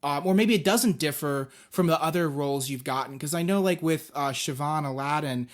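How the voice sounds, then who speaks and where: A man's voice: fairly high pitch, a little fast. A man hosting an interview, asking friendly, rambling questions with restarts. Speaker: interviewer, host.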